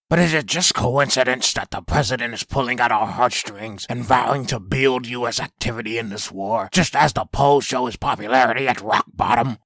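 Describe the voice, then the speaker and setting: A man playing a cynical TV news commentator in a comic dub, husky and creaky, asking sarcastic, suspicious rhetorical questions. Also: medium pitch, a bit bright, dry recording.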